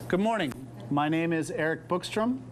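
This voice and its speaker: A man from the United States teaching a class of newcomers, speaking slowly and simply with clear emphasis. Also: a little high, slight background noise. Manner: slow, simple, emphatic speech for language learners.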